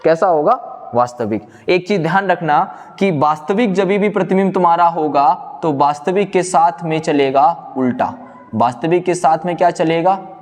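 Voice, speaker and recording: Echoey high-pitched male physics teacher. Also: moderate pace. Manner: asks questions and answers them himself, repeats key phrases for note-taking. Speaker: physics teacher in an exam coaching class. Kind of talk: classroom lecture, explaining step by step. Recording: echoey hall-like room reverb.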